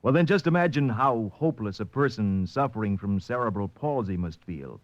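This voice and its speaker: A man in the role of a fifties network radio announcer, earnest and deliberate. His low voice moves expressively in pitch. The old broadcast sound is muffled and very dry. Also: no echo. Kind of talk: 1950s radio announcing and charity appeal.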